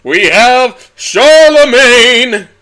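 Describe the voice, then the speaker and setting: Man putting on a high, loud little dinosaur boxing announcer, showy, drawn-out calls, bright voice. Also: lively intonation, heavily acted. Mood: hyping.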